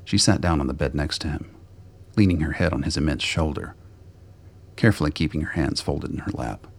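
A man narrates a scripted drama in an extremely deep voice. It is gravelly and husky, delivered as storytelling. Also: fairly quick.

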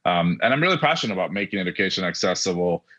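A middle-aged man from Argentina, a native Spanish speaker, giving earnest, halting interview answers over dull, lo-fi audio. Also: deep voice, big pitch swings, pushed and bright. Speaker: podcast guest, education technology executive.

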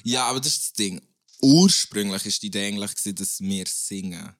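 A man's voice: on the low side, fairly quick, fairly bright tone, dry recording, clean studio sound. A young man, a guest rapper chatting casually in a podcast and rambling with restarts.

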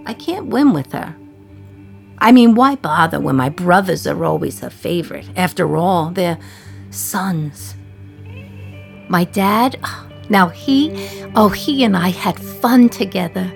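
A woman's voice: on the low side, animated, takes it slowly, vocal fry, long pauses, soft background music, heavily acted. An actress playing a sardonic, resentful jailed woman in her thirties from 1950s New York, confiding her memories in a radio play monologue. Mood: fond, wistful.

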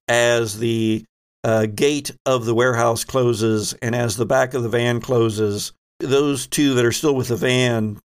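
A man with a slow, measured delivery runs a tabletop role-playing game. He sets scenes dramatically as game master, in a mid-pitched voice. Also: smooth.

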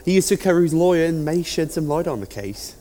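An actor in an audio drama playing a patient, kindly police inspector, courteous and businesslike. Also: male voice, fairly high pitch, animated, a little fast, mellow, a little hiss or hum, lightly acted.

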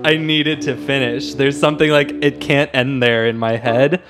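A man's voice: mid-range voice, steady tone, moderate pace. A man hosting a podcast, chatting casually about music, emphatic and informal, often circling back as he searches for words. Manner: hesitant, repetitive, searching for words. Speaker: podcast host. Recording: music playing behind the voice. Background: song playback.

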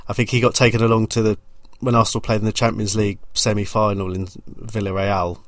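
A man in his forties hosting a podcast interview, with a slightly low voice. He asks questions in a chatty, rambling way. The recording is close and dry. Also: little pitch movement, a little fast, no echo. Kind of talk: casual interview.

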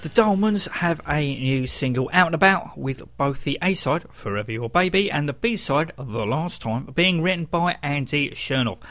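A man's voice: on the high side, a bit bright. A man hosts a rock radio show, talking between records in a presenter's style. His delivery is that of a music DJ introducing tracks. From United Kingdom.

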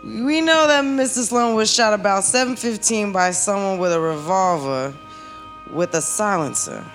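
Brisk, wry police captain played by a woman. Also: medium pitch, faint music behind, close to an everyday voice. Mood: businesslike. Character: brisk, skeptical police captain questioning murder suspects. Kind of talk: comedic parody of classic radio play.

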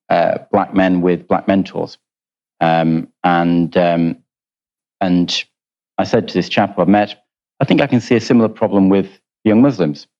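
Deep-voiced Yorkshireman, halting speech. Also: darkish tone, highs cut off. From Yorkshire, England. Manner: hesitant, with frequent fillers. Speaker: panelist. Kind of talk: panel discussion.

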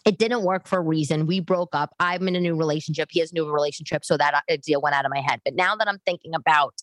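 A woman in her late thirties hosting a podcast, talking casually and bluntly to her listeners in an animated, conversational way. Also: sits low, fast, dry recording. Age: late 30s. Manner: animated, conversational, acts out others' remarks. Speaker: podcast host. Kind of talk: casual, chatty monologue to listeners.

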